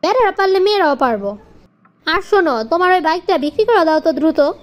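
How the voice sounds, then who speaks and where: Casting: female actor as demanding young cartoon wife, extremely high-pitched, Bangladeshi accent, scolding and insistent. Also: lively intonation, fast talker. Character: bossy, demanding young wife devoted to her girlfriends. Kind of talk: comic cartoon dialogue in colloquial dialect.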